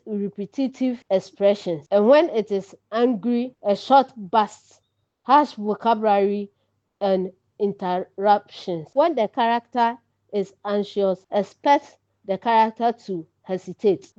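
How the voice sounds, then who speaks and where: A woman with a Ghanaian accent delivers an online lesson in a smooth, clear voice. She takes her time, explaining each point deliberately. The recording is dry but a little dull. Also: mid-range voice, darkish tone, dry recording. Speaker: teacher presenting an online lesson. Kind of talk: instructional lecture. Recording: slightly dull, lo-fi audio.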